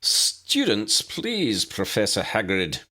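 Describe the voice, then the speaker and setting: Guy doing a strict old headmistress, high pitched and proper, bit husky, dry wit, audiobook style. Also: huge pitch swings, slow, bright, forceful tone, exaggerated voice. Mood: stern. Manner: proper, schoolmistressly, pronouncing. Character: stern elderly head teacher woman, brisk, wry, formal.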